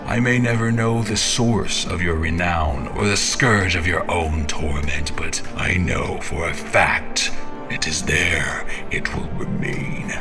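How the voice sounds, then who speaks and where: A man's voice: low voice, slow pace, music in the background. A man playing an obsessed, menacing, half-mad champion in a theatrical monologue, grandiose and brooding, with whispery breaths. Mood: menacing, defiant.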